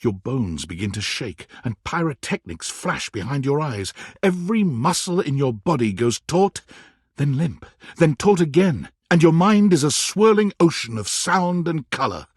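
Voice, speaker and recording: A man giving scripted, theatrical narration for a game, telling the story in an expressive, dramatic way. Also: fairly high pitch, normal speed, husky, no room sound, clean studio sound.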